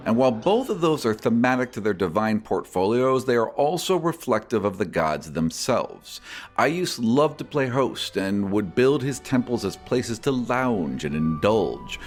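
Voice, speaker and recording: A man hosting a podcast, reading scripted fantasy lore and answers in a measured storyteller's manner. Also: on the low side, sing-song, soft background music. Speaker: podcast host and game master. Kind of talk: scripted narration answering listener questions.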